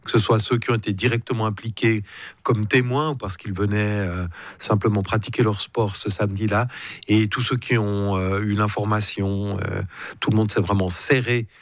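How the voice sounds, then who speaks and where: A middle-aged man, a local council president answering radio interview questions in a measured, hesitant way with many fillers and restarts. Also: lowish voice, steady tone, no room sound.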